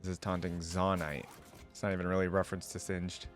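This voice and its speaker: A man's voice: deep voice, level tone, a little fast, soft background music. A man hosting a game reaction video, talking casually in an amused, musing way. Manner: amused, musing, echoes phrases.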